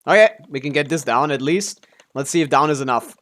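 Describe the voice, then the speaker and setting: A man's voice: fairly high pitch, a little fast, fairly bright tone. A man giving casual live game stream commentary, thinking aloud as he talks through his options.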